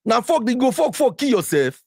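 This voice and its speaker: A man's voice: extremely high pitch, sing-song, moderate pace, gravelly, a little mellow, husky, no echo, clean studio sound. A man in his forties speaking Nigerian Pidgin, blunt and boastful, with emphatic cursing in a candid interview. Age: 40s. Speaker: interviewee in a played clip.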